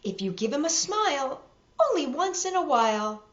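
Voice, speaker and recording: High, lilting woman reading to children. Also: very animated, moderate pace, echoey room, lo-fi sound. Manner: playful, addresses young viewers directly. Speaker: children's storytime host. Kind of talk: storybook read-aloud and chat to children.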